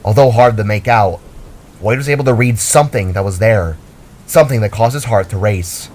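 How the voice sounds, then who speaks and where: A man's voice: fairly low pitch, brisk, darkish tone. A man reading a scripted fantasy story aloud as a dramatic narrator.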